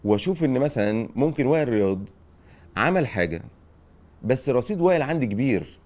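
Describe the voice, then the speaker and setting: A man's voice: mid-range voice, big pitch swings, fast, a little mellow. A middle-aged man with an Egyptian accent hosting a chat and giving advice, often checking in with rhetorical questions. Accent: Egyptian Arabic. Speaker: podcast host, former football director.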